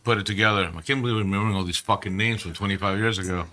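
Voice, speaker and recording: A man in his forties, born in Peru, a band member telling animated, profane stories in a casual interview. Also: lowish voice, very fast. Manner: animated, profane, rambling storyteller.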